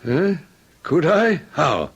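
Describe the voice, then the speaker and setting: An actor playing a gentle, loyal middle-aged manservant in old-time radio drama, polite and hesitant. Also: male voice, on the high side, wildly swooping pitch, slow pace, dull audio, noisy background, full character voice. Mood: courteous, flustered.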